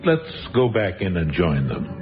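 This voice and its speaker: A male host introduces a radio horror program with a slow, theatrical, suave delivery. His voice sits a little low. Music plays under a muffled, dated recording. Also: big pitch swings. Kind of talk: scripted show introduction.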